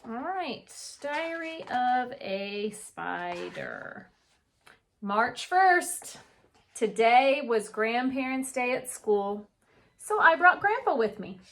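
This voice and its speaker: A woman's voice: fairly high pitch, big pitch swings, takes it slowly, fairly bright tone. A woman teacher reading a children's storybook aloud with chatty asides, putting questions to young listeners and answering them. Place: indoor reading nook at home.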